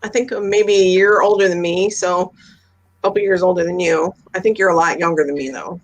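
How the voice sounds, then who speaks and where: A woman's voice: lowish voice, fairly even intonation, brisk, dull audio. A woman in her fifties chatting casually, telling everyday anecdotes in a talkative way. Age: 50s. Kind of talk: casual chat.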